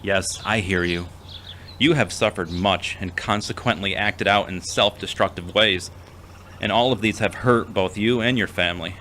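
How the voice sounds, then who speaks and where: A man playing a gentle, reserved, long-suffering character in an audio drama, with a measured, formal manner. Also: deep voice, slight background noise. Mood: sympathetic, solemn. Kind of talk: scripted audio drama acting.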